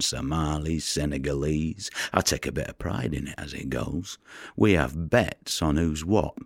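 An actor playing a world-weary, wary fisherman in an audio drama monologue, with a gravelly voice and a wry, colloquial way of telling it. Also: a bass voice, dry recording, clean studio sound, full character voice. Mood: wry, frustrated.

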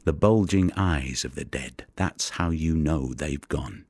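An extremely low male voice plays a haunted Mediterranean fisherman in an audio play. He is husky and breathy, telling his story slowly and broodingly. The sound is dry and close. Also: no room sound, heavily acted. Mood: grim, matter-of-fact. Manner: hushed, deliberate, brooding storytelling. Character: haunted, weary Mediterranean fisherman who recovers drowned bodies. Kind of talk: dramatic audio monologue.

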